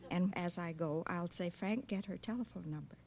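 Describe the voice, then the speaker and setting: A woman's voice: lowish voice, moderate pace, creaky, darkish tone. A woman in her fifties, a quiz contestant, answering candidly and a little hesitantly in unscripted conversation. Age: 50s. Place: radio studio with audience. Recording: noisy, clicky vintage radio broadcast recording.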